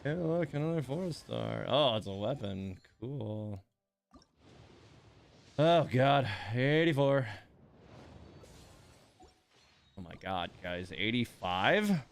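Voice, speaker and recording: A man's voice: mid-range voice, lively intonation, takes it slowly, gravelly, pauses often. A man hosting a game livestream, chatting casually and grumbling in exasperation as he plays. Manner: exasperated grumbling with mild swearing and sighs. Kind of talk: casual livestream chat and reactions while playing.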